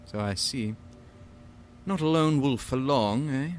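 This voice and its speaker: An actor playing a stern, condescending organization leader in an audio drama, with a sneering, authoritative, mock-polite manner. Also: male voice, mid-range voice, takes it slowly, a little hiss or hum, natural voice. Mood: mocking politeness.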